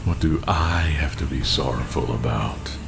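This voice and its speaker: A very deep, gravelly megalomaniac villain. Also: male voice, noisy background, exaggerated voice. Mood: cold contempt. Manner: slow, grandiose, menacing, contemptuous. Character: megalomaniac silver-tongued villain, a fallen super-soldier. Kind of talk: dramatic video game acting. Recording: music behind the voice.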